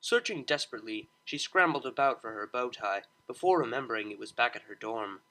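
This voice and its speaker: Male storyteller for scripted fiction, lively intonation, bright and forward tone. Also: mid-range voice, brisk, rough recording. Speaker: audiobook narrator. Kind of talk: expressive scripted narration of fan fiction.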